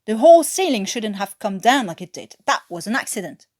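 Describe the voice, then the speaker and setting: A woman's voice: medium pitch, sing-song, fairly quick, a little mellow. Woman reading as a stiff, defensive soldier, clipped tones. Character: stiff, awkward, defensive British officer in prison camp. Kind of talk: amateur audiobook character reading.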